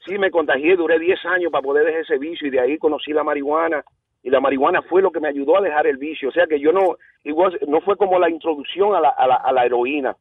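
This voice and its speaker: A man's voice: on the high side, fast, breathy edge, no echo. A man in his sixties from the Dominican Republic, speaking with a Puerto Rican Spanish accent, calling in to chat in a rambling way with English phrases mixed in. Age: 60s. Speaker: caller. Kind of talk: casual call-in chat. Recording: tinny phone line, distant.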